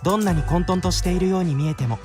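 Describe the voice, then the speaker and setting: A man playing a polite, rapid-talking young man. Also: high voice, music in the background, natural voice. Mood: earnest. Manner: polite and explanatory. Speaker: voice actor in a visual novel. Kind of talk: Japanese game voice acting.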